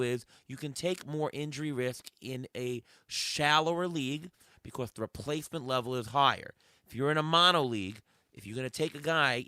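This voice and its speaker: A hoarse man hosting a sports podcast, firing off questions and thinking aloud in casual chat. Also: medium pitch, pushed and bright, no echo. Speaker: podcast host.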